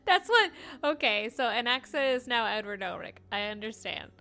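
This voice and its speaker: A woman's voice: on the high side, animated, normal speed, sharp tone. A woman streaming a game with casual, playful, giggly commentary and reactions. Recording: game music behind voice. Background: video game music.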